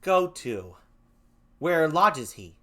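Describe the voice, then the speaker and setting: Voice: mid-range voice, very animated, very slow, a bit bright, heavily acted. A reader voicing Desdemona as an earnest, pleading young noblewoman in a play read aloud.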